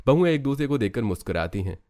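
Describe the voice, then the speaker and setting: A man's voice: mid-range voice, sing-song, fast talker, a little mellow, slight background noise. A man reading scripted narration for a cartoon story.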